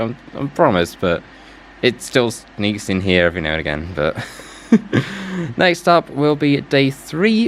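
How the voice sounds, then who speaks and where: A chatty man narrates a casual travel vlog with a lively, swooping pitch. He is self-deprecating and informal. There is some ambient noise behind his voice. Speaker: travel vlogger.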